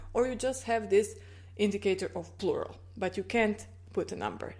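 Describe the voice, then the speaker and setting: A woman's voice: medium pitch, a little mellow. Woman, language teacher, patient explainer, checks understanding often. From Croatia. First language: Croatian. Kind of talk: explaining grammar in a video lesson.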